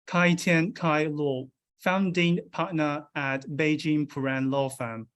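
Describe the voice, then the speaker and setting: A man's voice: a little high, steady tone, takes it slowly, fairly bright tone. A man hosting an awards ceremony as emcee, formally reading out names and job titles and introducing a panel. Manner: polished, announcing names and titles. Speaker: event emcee.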